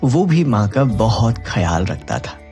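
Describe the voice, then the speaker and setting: A man's voice: medium pitch, big pitch swings, a little slow, warm tone, faint music behind. A man narrating a scripted moral story as a storyteller.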